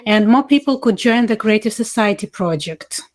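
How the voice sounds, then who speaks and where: A woman giving a live voice-over interpretation, halting and delivering it phrase by phrase. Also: medium pitch, lively intonation.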